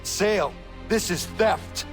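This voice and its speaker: An actor playing a solemn, determined young warrior in a video game, speaking formally and deliberately. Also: male voice, sits high, faint music behind. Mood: indignant. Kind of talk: video game cutscene acting.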